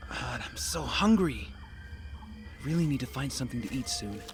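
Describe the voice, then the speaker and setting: An actor playing a starving, guilt-wracked young castaway in an audio drama, emotional and self-pitying. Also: male voice, medium pitch, animated, moderate pace, music in the background, lightly acted. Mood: weary, hungry.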